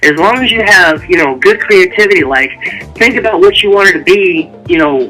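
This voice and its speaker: Very sharp, bright-voiced man being interviewed. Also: high voice, animated, normal speed, faint music behind, rough recording. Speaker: author, interview guest. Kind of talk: casual interview talk.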